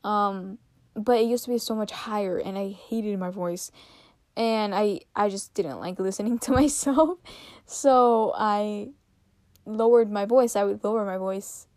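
A young woman in her teens hosting a casual solo podcast, rambling with lots of fillers and giggles, her voice a little raspy and tired. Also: mid-range voice, a little slow, darkish tone, dry recording, clean studio sound. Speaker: podcast host.

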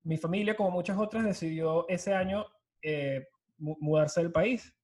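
Chatty Venezuelan man, higher voice. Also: moderate pace, a little mellow. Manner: talkative, mixes English words into Spanish. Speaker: startup co-founder guest. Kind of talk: casual storytelling interview. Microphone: video call.

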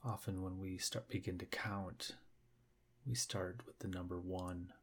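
A man leading a guided meditation, speaking gently and unhurriedly in short phrases with long pauses. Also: low voice, steady tone.

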